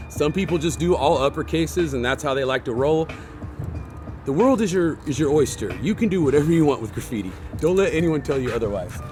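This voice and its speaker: A man hosting a graffiti how-to, his voice hoarse, chatting casually and rambling in slang while he paints outdoors. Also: fairly high pitch. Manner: laid-back, rambling, slangy. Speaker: graffiti artist and channel host. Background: hip hop backing beats.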